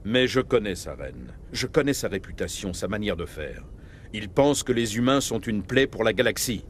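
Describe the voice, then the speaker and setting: A commanding middle-aged starship captain, played by a male actor in a video game. He is grave and measured and speaks with military authority. A faint rumble sits behind the voice. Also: animated, close to an everyday voice. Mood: urgent, grave. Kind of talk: dramatic video game dialogue.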